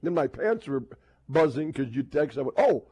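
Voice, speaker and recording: Male American sportscaster and radio host, lightly raised pitch, loose talkative banter, re-enacts conversations as he rambles. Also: animated, moderate pace, mellow, husky, rough recording. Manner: chatty, rambling, acts out quoted exchanges.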